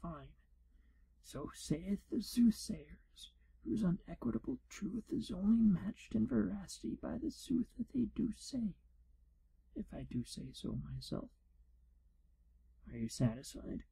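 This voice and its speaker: A shifty, pompous male wizard proctor, acted out by a man for an ASMR fantasy role play. The voice is very dark and hushed, full of husky breath and heavy creak. The delivery is wry and theatrical, as if by a self-important examiner dodging questions. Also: high-pitched, sing-song, measured pace, full character voice, rough recording. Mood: pompous, impatient. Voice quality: hushed, breathy, half-whispered.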